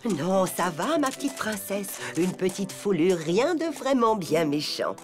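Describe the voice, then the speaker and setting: A woman voicing a gentle, reassuring old elephant grandmother in a French cartoon. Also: mid-range voice, very animated, unhurried, faint music behind. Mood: tender, reassuring.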